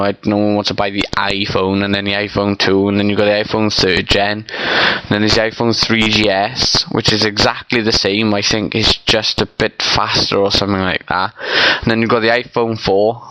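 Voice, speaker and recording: Young man, blocked nose, low flat voice, bright crisp tone, rambling vlog chat. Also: no echo, low-quality recording. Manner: rambling, many ums and you-knows. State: congested, stuffy nose. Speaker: vlogger. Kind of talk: casual unscripted opinion vlog.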